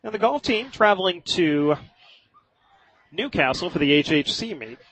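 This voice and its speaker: A man doing live radio play-by-play of high school football in short, clipped phrases. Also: very animated, normal speed, fairly bright tone, rough recording. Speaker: play-by-play sports announcer.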